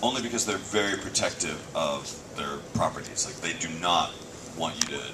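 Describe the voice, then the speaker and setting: A man's voice: a bass voice, animated, normal speed. A man, a voice actor, answering fan questions at a convention panel in a casual, joking way. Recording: distant fan-shot recording with noise.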